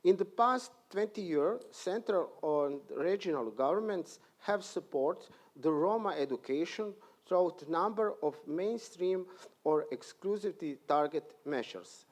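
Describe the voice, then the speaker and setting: A man with a Croatian accent who speaks Croatian natively, presenting statistics at a conference panel in halting English with frequent pauses. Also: sits high, expressive. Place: conference hall.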